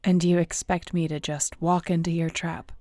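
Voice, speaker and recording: Low, mellow, surly werewolf woman played by an actress. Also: level tone, normal speed, dry recording, close to an everyday voice. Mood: guarded, hostile. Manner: gruff, dry, blunt. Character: surly young female werewolf alpha, guarded, fiercely protective. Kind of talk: audio roleplay acting.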